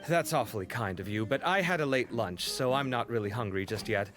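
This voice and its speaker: Guy voicing a polite friendly young dude in a game, expressive, chatty, music playing behind. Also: medium pitch, a little fast, natural voice. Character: courteous, friendly, expressive young male former student. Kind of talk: video game voice acting.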